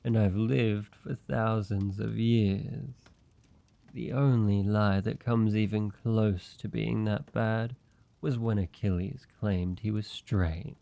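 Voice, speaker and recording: A man's voice: lowish voice, steady tone, creaky, warm tone, no echo. An actor playing an ancient, flirtatious male vampire in a roleplay audio drama, courteous and teasing with a playful air of menace. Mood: amused. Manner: playful mock-menacing, teasing, drawn-out delivery.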